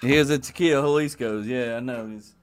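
A man's voice: medium pitch, a little fast, smooth, no echo. A man co-hosting a podcast, teasing the others bluntly in casual banter. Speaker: podcast co-host.

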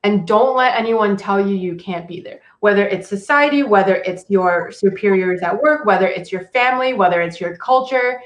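A woman's voice: medium pitch, normal speed, hardly any pauses. A woman whose first language is Cantonese, a career coach and author, talking casually in a podcast interview with many "like"s and "um"s. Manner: casual, frequent "like" and "um", laughs often. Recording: echoey room.

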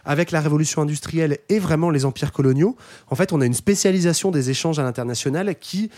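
A man with a somewhat high voice who talks quickly and keeps going, filling pauses with hesitations and rephrasing as he explains. Casual podcast chat among friends. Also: mellow. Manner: quick, with many fillers and restarts.

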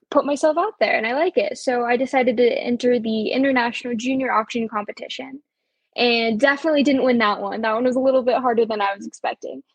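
A woman's voice: a little high, husky, no room sound. A seventeen-year-old woman from Colorado, a young auctioneer chatting upbeat in an interview, with frequent ums and small laughs. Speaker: auctioneer guest. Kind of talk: casual interview chat.

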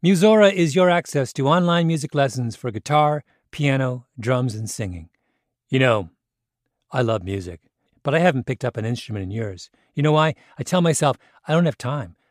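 A man's voice: medium pitch, expressive, moderate pace, no room sound, studio quality. A man reading a scripted advert as a voice-over. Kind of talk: scripted ad read. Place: dry studio.

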